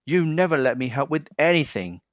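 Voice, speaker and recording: A man's voice: a little high, expressive, fairly quick, no echo, lo-fi sound, pitched above the performer's own voice, close to an everyday voice. A man voicing a stubborn little male duck in a children's storybook, with an insistent manner. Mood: wailing, upset. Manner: insistent, plaintive. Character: plucky stubborn little male duck wanting his turn.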